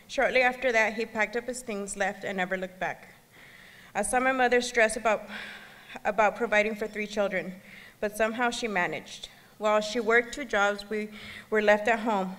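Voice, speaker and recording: Woman, 30s, from El Salvador, earnest halting speech at a podium, long hall reverb. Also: mid-range voice, fairly bright tone. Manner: earnest, halting, occasional restarts. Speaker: gala speaker. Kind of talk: personal testimony speech. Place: gala banquet hall.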